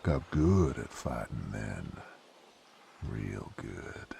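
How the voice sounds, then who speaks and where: A gruff, world-weary knight played by a male actor with an extremely deep, gravelly voice. He talks very slowly in rough, rustic, brooding phrases, as in an intimate audio drama. Faint but clear outdoor noise sits behind him. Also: a little mellow, full character voice. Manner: rough rustic folksy speech, brooding, halting. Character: sadist knight, gruff former carnival drifter, weary and brooding. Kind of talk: dramatic roleplay audio monologue.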